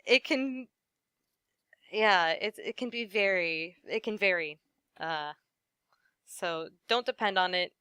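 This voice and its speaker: Bright, clear-voiced woman voice actress. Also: mid-range voice, takes it slowly, pauses often, no echo. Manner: friendly, laughs often, lots of "you know". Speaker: voice actress, interview guest. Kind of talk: casual interview chat.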